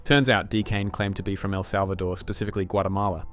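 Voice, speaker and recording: A man's voice: low voice, flat delivery, quick pace, fairly bright tone, soft background music. A man narrating a scripted commentary in a dramatic, teasing manner.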